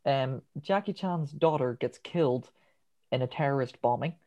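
A man's voice: medium pitch, normal speed, warm tone. A man with a Northern Irish accent co-hosting a podcast, chatting casually about a film with lots of ums and the odd swear. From Northern Ireland. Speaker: podcast co-host.

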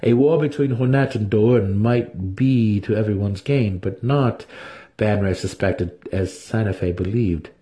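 A man's voice: lowish voice, measured pace, mellow. A man narrating a fantasy novel as a dramatic audiobook reading.

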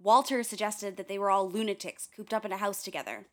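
A woman's voice: medium pitch, fast, natural voice. An actress in a radio play voicing an earnest, curious young woman, speaking in a candid, conversational way with wry touches. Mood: defensive, reflective. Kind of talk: radio play dialogue and recorded diary.

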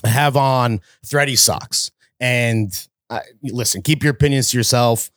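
A man's voice: mid-range voice, unhurried, a bit bright, no room sound, studio quality. A man co-hosting a podcast, laid-back and slangy, laughing often. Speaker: podcast co-host. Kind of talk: casual podcast banter.

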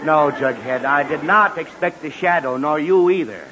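A man's voice: fairly high pitch, normal speed, lo-fi sound, a loud crowd, very noisy, natural voice. An actor playing a self-important, exasperated middle-aged father who sputters through a 1940s radio comedy, on an old broadcast recording.

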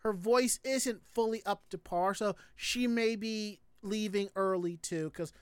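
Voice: medium pitch, unhurried, clear, resonant tone, no echo. Informal podcast host with a dry, close sound. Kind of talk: casual live show chat.

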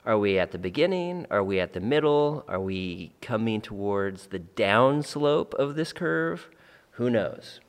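A man's voice: fairly low pitch, animated, a little slow, big-room sound. A man hosting a podcast, talking reflectively and repeating phrases for emphasis, then interviewing a guest. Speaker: podcast host. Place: Central Oregon.

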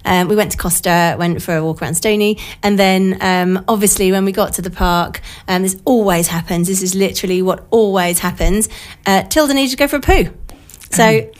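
A middle-aged British woman with a croaky, slightly blocked-nose voice from a cold, chatting informally as a radio host in a studio. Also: medium pitch. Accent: British English. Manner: informal, self-deprecating, rambling anecdotes. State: has a cold. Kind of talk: casual chatty radio monologue.

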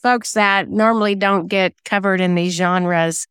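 A woman's voice: medium pitch, fairly even intonation, measured pace, fairly bright tone, clean tone, dry recording, studio quality. A woman answering interview questions in a chatty, informal way, explaining at length as a guest. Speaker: guest, singer-songwriter and self-published novelist.

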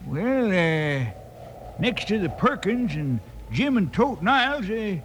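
Old rancher, folksy drawl, lively and bright, vintage radio. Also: male voice, high-pitched, slow, slight background noise, full character voice. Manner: drawling, rambling. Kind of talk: 1950s radio acting.

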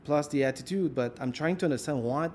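A man's voice: on the high side, fast, darkish tone, slight background noise. A man interviewing a guest in a casual chat, thinking aloud and filling his questions with "like". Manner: rambling, thinks aloud with many "like"s.

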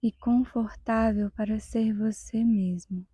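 A Brazilian woman guides a meditation in a soft, breathy half-whisper. Her pitch stays flat and her pace is slow, with calm, measured pauses. The sound is close and dry. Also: dry recording.

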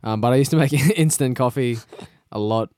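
Laid-back Australian man, smooth voice. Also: medium pitch, moderate pace, a little mellow, dry recording, clean studio sound. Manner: laid-back, rambling, laughs often. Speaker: podcast co-host. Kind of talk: casual chat. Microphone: podcast microphone.

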